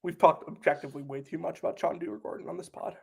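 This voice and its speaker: A man's voice: fairly high pitch, steady tone, fast talker, gravelly, husky. A sick young man co-hosting a casual sports podcast, chatty and full of "like" fillers. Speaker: podcast co-host.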